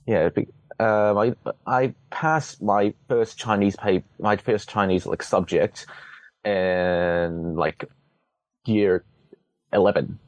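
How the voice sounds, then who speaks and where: Deep-voiced young man, hesitant. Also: fairly even intonation, slow pace, no echo. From Hong Kong. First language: Cantonese. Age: young adult. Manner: hesitant, many restarts and fillers, wry humor. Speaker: interviewee, student. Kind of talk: casual interview answers.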